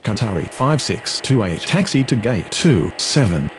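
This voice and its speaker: A man's voice: fairly low pitch, very animated, unhurried. A man playing an airline pilot on air traffic radio in a game, with procedural scripted phrasing.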